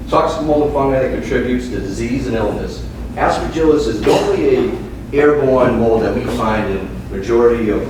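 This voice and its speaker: A man with a Boston accent giving a casual office presentation and reading his slides with many fillers. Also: lowish voice. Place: office meeting room. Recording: echoey room from far off with noise.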